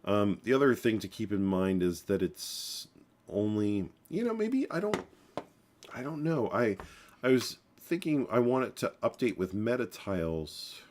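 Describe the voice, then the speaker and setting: Congested deep-voiced man coding live. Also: very animated, a little slow, a little mellow. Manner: rambling, many fillers and restarts. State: congested, losing his voice. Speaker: programming livestream host. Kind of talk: casual live coding talk.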